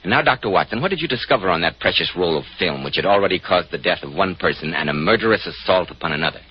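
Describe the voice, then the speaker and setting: Husky, animated American 1940s radio announcer man. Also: low voice, fast talker. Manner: polished, salesmanlike, emphatic. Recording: muffled 1940s radio broadcast.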